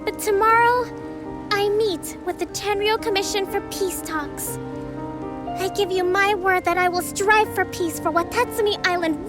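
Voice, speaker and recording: Gentle young leader, very high voice, polite, game music behind. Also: female voice. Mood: addressing crowd. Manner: soft-spoken, polite. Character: young female island leader, gentle and tactful strategist. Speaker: military leader. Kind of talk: video game voice acting.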